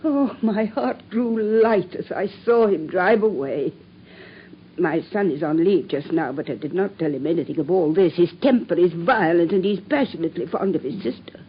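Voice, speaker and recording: A middle-aged widowed landlady, anxious and worn, in a vintage radio play. Her husky voice pleads and trembles toward tears. The recording sounds dull and lo-fi. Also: fairly low pitch, animated, normal speed. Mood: distressed. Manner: distressed, pleading, breaking into tears. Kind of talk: radio drama acting.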